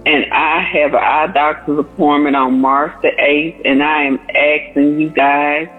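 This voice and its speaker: A man in his forties calls in with a prayer request, speaking slowly and earnestly in a fairly high voice. The sound is thin and boxy, like a telephone line, with faint music underneath. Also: flat delivery. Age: 40s. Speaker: caller. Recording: phone line.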